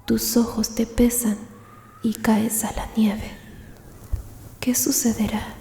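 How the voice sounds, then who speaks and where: A woman narrating a scripted ASMR story in a soft, hushed, breathy whisper. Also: fairly high pitch, level tone, takes it slowly.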